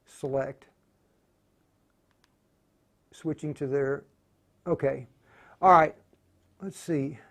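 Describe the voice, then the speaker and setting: Higher-pitched man thinking aloud through a tutorial. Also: steady tone, gravelly. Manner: halting, muttering to himself while working. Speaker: tutorial host demonstrating radio programming.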